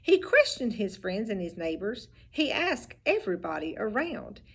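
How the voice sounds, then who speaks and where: A teacher reading a picture book aloud, with expressive storybook delivery and little asides about the pictures. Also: female voice, medium pitch, moderate pace, husky.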